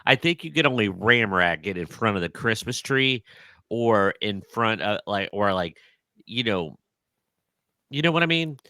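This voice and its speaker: A man's voice: on the low side, lively intonation, a little slow, bright, forceful tone, no echo. A man co-hosting a casual podcast, playful and fond of wordplay and jokey sound effects. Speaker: podcast co-host.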